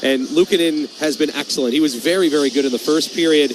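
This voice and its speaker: A man's voice: on the high side, fast talker, slight background noise, low-quality recording. A man hosting a live radio intermission show during a hockey game, broadcasting from the arena.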